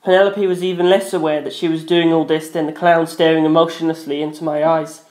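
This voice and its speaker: A man's voice: on the high side, little pitch movement, fairly quick, darkish tone. A man reading a first-person story aloud as a dramatic narrator. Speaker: audiobook-style narrator. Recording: roomy echo.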